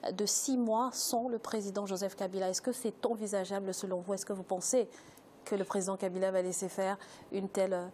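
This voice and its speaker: A woman's voice: mid-range voice, flat delivery, brisk, warm tone. Woman tv debate host asking panel questions, some noise behind her. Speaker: host moderating a political debate.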